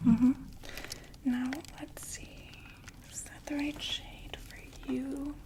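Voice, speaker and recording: A woman voicing a chatty undead vampire makeup saleswoman in a soft, gently persuasive ASMR whisper. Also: high voice, level tone, slow pace. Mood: hushed sales pitch. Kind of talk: ASMR roleplay.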